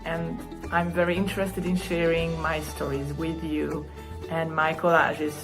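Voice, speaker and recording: Woman over clear music. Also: deep voice, little pitch movement, unhurried. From Hungary. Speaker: collage artist. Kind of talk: prepared video introduction. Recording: music bed under the voice.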